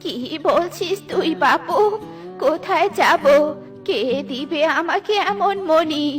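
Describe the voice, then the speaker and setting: A woman's voice: very high voice, slow, a bit bright, soft background music, heavily acted. A woman voicing a weary, helpless elderly female bird in a cartoon, plaintive and wailing. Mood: doubtful, tearful. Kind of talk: cartoon voice acting.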